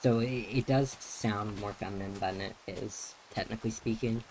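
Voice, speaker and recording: A man's voice: on the low side, steady tone, normal speed, background noise. A young man streaming a game, chatting casually in a neutral, feminine-leaning voice, rambling with many restarts and fillers. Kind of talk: casual live-stream chat while playing.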